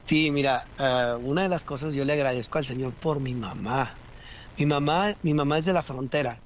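A man's voice: mid-range voice, normal speed, slight background noise. A man, telling his story as a guest in a casual interview, with many restarts and fillers. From Rio Grande Valley, Texas.

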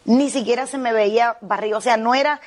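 A woman's voice: a little high, brisk. A middle-aged woman pastor from Cuba, with a Cuban Spanish accent, telling her testimony with fervent earnestness in a TV interview.

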